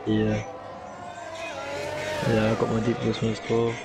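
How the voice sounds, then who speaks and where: A man's voice: on the low side, monotone, measured pace. A young man, bantering and swearing casually with a friend while gaming. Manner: rambling, swears freely, teasing. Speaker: streamer. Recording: loud music playing behind.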